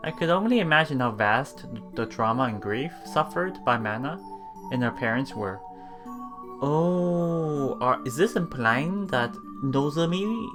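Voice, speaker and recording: Let's play streamer, mid-range pitch, reading story text, murmured asides, music behind. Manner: rereads lines after stumbles, murmured reactions between read passages. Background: game music.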